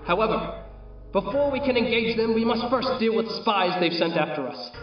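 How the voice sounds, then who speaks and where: An actor playing a ghostly, grandiose general in an audio drama, with theatrical, archaic oratory. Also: male voice, high-pitched, expressive, moderate pace, faint music behind. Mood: commanding. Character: ghostly old-fashioned general rallying troops, grandiose and commanding. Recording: roomy echo, distant mic, muffled sound.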